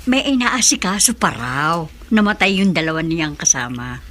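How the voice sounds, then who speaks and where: A woman playing a calm, measured older woman in a radio drama. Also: on the low side. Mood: somber, calm. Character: calm older woman relaying grim news.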